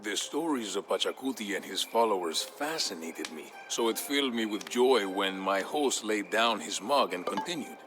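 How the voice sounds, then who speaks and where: An actor playing a male narrator who recounts an old legend in a measured, scripted storybook manner for a video game. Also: on the low side, animated, a bit bright, faint music behind, low-quality recording. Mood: solemn storytelling.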